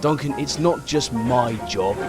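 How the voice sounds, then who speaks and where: A man's voice: mid-range voice, a little slow, natural voice. An actor playing a sensible, reproving male engine who scolds a workmate in an audio drama. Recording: music under the voice.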